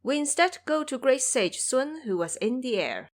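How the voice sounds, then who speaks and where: A woman narrating an audio drama with expressive, vivid storytelling. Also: high-pitched, moderate pace.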